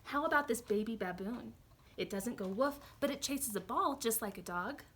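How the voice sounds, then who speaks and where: A woman reading a storybook aloud, voicing an eager-to-please male animal shelter keeper in a slightly lowered voice. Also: expressive, normal speed, fairly bright tone, slight background noise. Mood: coaxing.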